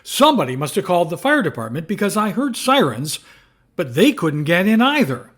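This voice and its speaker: A man playing an excitable, incredulous newspaper reporter in an audio drama. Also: high voice, fairly quick. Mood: wry recounting. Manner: exclamatory, incredulous.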